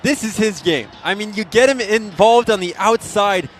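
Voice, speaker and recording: A man with a fairly high voice provides color commentary on a live football broadcast. He talks conversationally, explaining what happened and why. He readily adds his own opinions on the play calls. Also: moderate pace, slight background noise. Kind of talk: live sports analysis.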